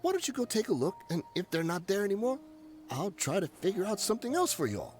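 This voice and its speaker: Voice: mid-range voice, very animated, fast, close to an everyday voice. An actor voicing a shifty, wheedling trader. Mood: evasive. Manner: wheedling, evasive. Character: shifty, pleading desert delivery trader. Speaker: game character, a merchant. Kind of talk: video game voice acting. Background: game music.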